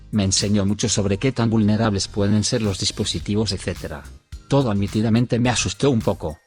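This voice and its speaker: A man reading internet stories aloud in a steady, even scripted narration. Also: on the low side. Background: soft background music.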